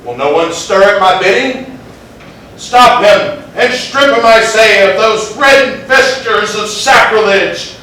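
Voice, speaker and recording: A man's voice: high voice, takes it slowly, sharp tone, exaggerated voice. A man playing a bold, robust prince in an imperious rage, declaiming in a theatrical stage reading. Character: bold, robust, enraged prince demanding obedience. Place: indoor theatre, far from the mic. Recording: roomy stage echo, distant mic, faint noise.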